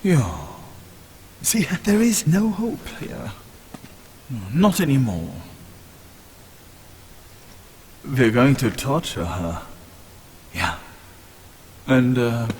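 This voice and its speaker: Swooping, sadistic villain general. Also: male voice, medium pitch, big pitch swings, darkish tone. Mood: cruel gloating. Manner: theatrical, halting, taunting with drawn-out words. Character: gleefully sadistic, philosophizing Nazi general and villain. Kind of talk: video game cutscene acting. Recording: long hall reverb, clear background noise.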